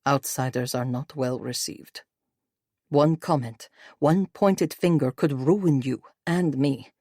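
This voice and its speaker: A woman voicing a cautious, firm, quietly persuasive husband in an audiobook, measured and guarded. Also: extremely low pitch, dry recording, clean studio sound, exaggerated voice. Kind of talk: audiobook character voice.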